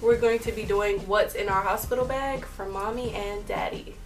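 A heavily pregnant young woman chats softly to her viewers from a bedroom, in a mid-pitched, easy voice. The mic sits a little away from her, and faint music plays underneath. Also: fairly even intonation, normal speed, far from the mic. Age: young adult. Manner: soft-spoken, chatty, with light laughs and fillers. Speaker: vlog co-host. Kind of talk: casual chat. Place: bedroom, indoors.

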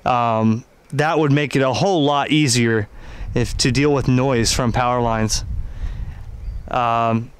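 A man giving an unscripted hobbyist review and demonstration of radio gear in a halting, conversational, explanatory way. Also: mid-range voice, fairly even intonation, clear, resonant tone.

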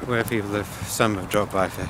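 A man's voice: fairly low pitch, fairly even intonation, brisk. A man vlogging his own wedding day with a phone at arm's length, chatty and rambling, his voice a bit worn. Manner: rambling and chatty, many fillers. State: losing his voice. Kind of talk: casual handheld vlog. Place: park outdoors, later busy restaurant. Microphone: phone camera at arm's length. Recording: loud background noise and crowd.

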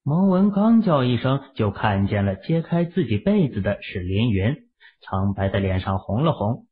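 A man's voice: mid-range voice, big pitch swings, moderate pace, narrow, tinny audio. A man reading a story as a scripted, dramatic audiobook narration.

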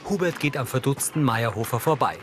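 A man's voice: medium pitch, animated, a little fast. A man reading scripted audio description, narrating scenes in the present tense.

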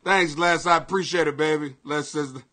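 A middle-aged American man hosting a comedy podcast in a brash, profane, excitable rant that often rises to shouting. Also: a little high, brisk, a little hiss or hum. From Greenwich, Connecticut, United States. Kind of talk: ranting comedy podcast monologue.

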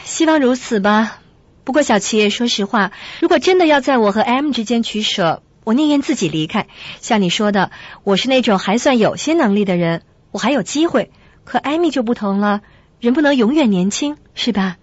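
A woman playing a gentle, anxious young secretary in a radio drama, speaking in a hesitant, subdued way. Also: on the high side, expressive, normal speed, no echo, muffled recording. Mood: resigned, troubled. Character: gentle, anxious young office secretary, resigned and self-effacing.

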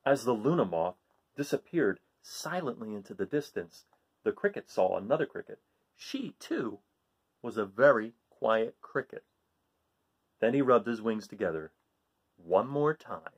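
A middle-aged man reading a children's picture book aloud in a theatrical way, with dramatic pauses and hushed phrases. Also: medium pitch, animated, breathy edge. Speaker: read-aloud storyteller. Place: small living room at home.

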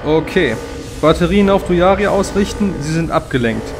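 Man acting a higher, animated starship commander. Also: moderate pace, music in the background. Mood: urgent, commanding. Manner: urgent, commanding. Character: brisk, impatient male starship commander. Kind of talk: audio drama acting.

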